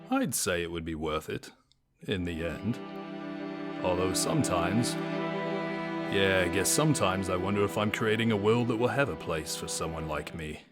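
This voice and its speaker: Male actor as shapeshifter villain, low voice, calm, menacing, musing, audio drama, prominent music bed. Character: menacing shapeshifter who steals faces and memories. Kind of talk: fan audio drama acting. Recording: loud music under the voice.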